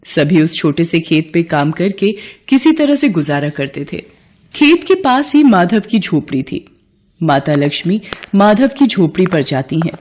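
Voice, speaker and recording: A woman's voice: on the low side, very animated, measured pace, darkish tone. A woman narrator telling a scripted devotional folk tale in a storytelling style.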